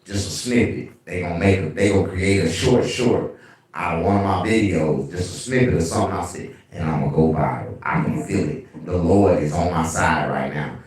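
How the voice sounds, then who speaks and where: A man with a deep bass voice hosting a casual livestream, telling animated, profane stories. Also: normal speed, distant mic. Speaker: livestream host. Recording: very echoey room, away from mic.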